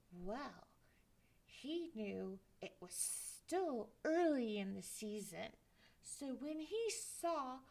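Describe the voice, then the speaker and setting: A woman reading a picture book aloud at library story time, expressive and dropping to hushed whispers. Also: high voice, vocal fry.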